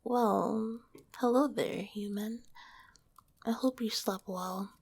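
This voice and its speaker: A woman voicing a gentle, teasing female slime monster girl in a whispered ASMR roleplay, speaking softly into a close microphone. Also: medium pitch, steady tone, slow pace, vocal fry, slightly rough, no echo, exaggerated voice. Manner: soft whispering, teasing then tender. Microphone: close mic.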